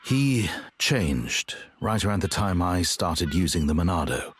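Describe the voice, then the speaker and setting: A man voicing a grave, honourable, regretful warrior in a video game, earnest and measured. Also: deep voice, very animated, slight background noise. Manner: earnest, measured, formal, brooding. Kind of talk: dramatic video game voice acting.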